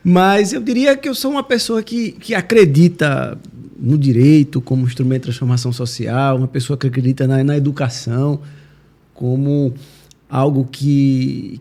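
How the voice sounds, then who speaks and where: A man with a Northeastern Brazilian accent from Recife, answering interview questions in a reflective, halting, conversational way. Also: a little high, gravelly, mellow. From Recife, Pernambuco, Brazil. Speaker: podcast guest, judge and law professor.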